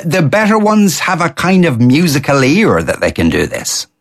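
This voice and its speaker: An Irish man with a Midlands accent chats in a relaxed, wry way. His pitch moves around a lot as he talks. He often stops and restarts his sentences. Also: normal speed. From Ireland. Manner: dry, wry humor with hesitant restarts. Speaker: interviewer. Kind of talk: casual conversation.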